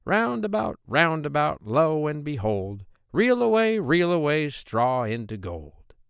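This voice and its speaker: Man doing a high, sing-song little hobgoblin. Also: sing-song, takes it slowly, vocal fry, smooth, no echo, studio quality, full character voice. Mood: merry chanting. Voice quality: high-pitched, lilting. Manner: sing-song, wheedling, theatrical. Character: droll little male hobgoblin dwarf, sly bargainer. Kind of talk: storybook character voice.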